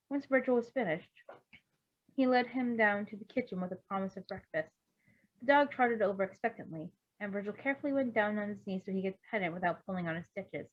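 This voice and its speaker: A woman's voice: medium pitch, dull audio. A woman reading fan fiction aloud as an amateur narrator, expressively and informally. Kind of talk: expressive story narration with casual asides.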